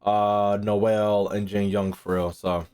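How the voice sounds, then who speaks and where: A man's voice: on the low side, little pitch movement, slow pace, a little mellow, smooth. A man streaming casually, thinking aloud in a rambling way and swearing freely as he chats. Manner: rambling, foul-mouthed.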